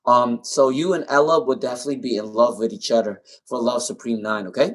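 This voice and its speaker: A man's voice: medium pitch, fairly even intonation, brisk. A man with a non-native English accent and a speech impairment reads tarot live for his viewers. His voice sits in a middle range. He repeats each name and question several times as he works. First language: not English. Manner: repeats names and questions while pulling cards. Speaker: tarot reader. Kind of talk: live-stream tarot reading.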